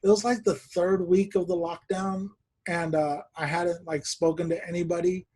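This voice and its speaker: High-voiced man hosting a casual chat. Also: moderate pace. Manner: laughs often, animated storytelling. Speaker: podcast host. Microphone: video call.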